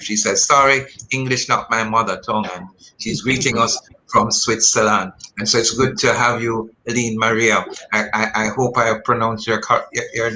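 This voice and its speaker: A man hosting a radio talk show in the studio. His voice is bright and forceful as he asks earnest, probing questions. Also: mid-range voice, slight background noise, low-quality recording. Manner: earnest, probing questions, reads listener messages.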